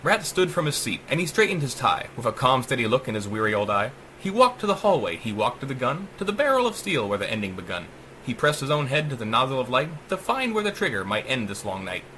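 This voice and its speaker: Male narrator, theatrical verse delivery, lively pitch swings, bright forceful tone, faintly noisy recording. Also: fast. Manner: theatrical, sing-song verse cadence. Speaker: author reading own story. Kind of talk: dramatic rhymed audiobook narration.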